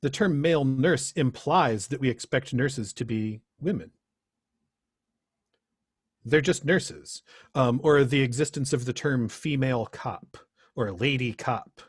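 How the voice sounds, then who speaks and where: A man's voice: medium pitch, measured pace, husky, no echo, clean studio sound. A middle-aged man giving an informal workshop presentation in an earnest, reflective manner. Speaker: presenter, teacher.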